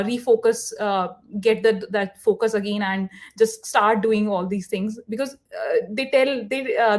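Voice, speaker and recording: A woman guest speaker on a video call, talking earnestly with many fillers and restarts. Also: on the high side. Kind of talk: online session answer.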